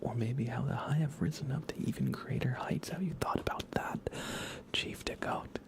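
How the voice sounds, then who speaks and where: A man speaks in a hushed, breathy whisper with a creaky edge, very near the microphone. He narrates his game in a relaxed, playful murmur, chatting to viewers as an ASMR livestreamer. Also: medium pitch, normal speed. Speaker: live streamer. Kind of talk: whispered ASMR game stream commentary with chat.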